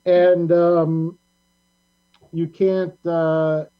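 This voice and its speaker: A talk show host in a casual group chat, speaking in a halting, rambling way at a middling pitch. The audio is dull and lo-fi, as from a video call. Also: level tone, clear, resonant tone.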